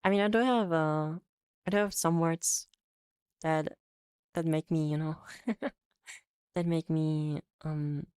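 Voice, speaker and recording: A woman's voice: low-pitched, fairly even intonation, slow pace, long pauses, a little mellow, smooth, no room sound, clean studio sound. A young woman, a Polish native speaker, with an English accent that mixes American and British, chatting casually on a stream in a rambling, self-correcting way full of fillers. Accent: mix of American and British English. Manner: rambling and self-correcting, frequent fillers and restarts, laughs easily. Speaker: VTuber streamer. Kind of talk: casual livestream chat, reading chat aloud.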